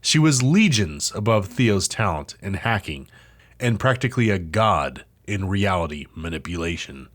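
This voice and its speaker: A man narrating an interactive audio drama in a suspenseful, theatrical scripted style with dramatic pauses. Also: low voice, very animated, a bit bright. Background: music.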